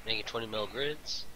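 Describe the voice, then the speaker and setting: A man chatting while playing a game with a friend, teasing him with dry, deadpan jokes. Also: lowish voice, slight background noise, low-quality recording. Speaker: game player. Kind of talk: casual banter while gaming.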